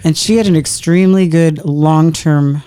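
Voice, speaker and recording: A middle-aged woman in casual conversation, an earnest storyteller who slows down to stress key moments. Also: sits low, mellow, smooth, no echo, studio quality. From Canada.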